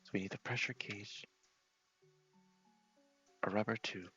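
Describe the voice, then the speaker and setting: A man's voice: fairly low pitch, steady tone, measured pace, pushed and bright, breathy edge, highs cut off, faint music behind. A man giving casual ASMR gameplay commentary, often whispering as he thinks aloud. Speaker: game streamer.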